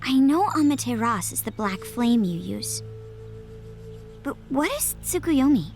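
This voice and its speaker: Animated, inquisitive ninja girl. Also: on the high side, measured pace. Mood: curious. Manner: questioning, expressive. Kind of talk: anime video game cutscene acting. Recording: music under the dialogue.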